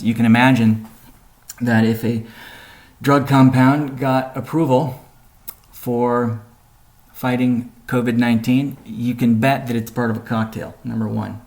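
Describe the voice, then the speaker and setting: A man presenting a company update on a conference call, explaining in a measured way with deliberate pauses. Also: mid-range voice, gravelly, darkish tone.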